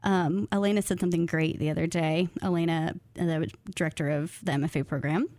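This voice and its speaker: A woman speaking as a guest writer in a casual interview, thoughtful and self-deprecating, quick to laugh. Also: low-pitched, steady tone, a little mellow, dry recording. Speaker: guest writer, graduate student of creative nonfiction.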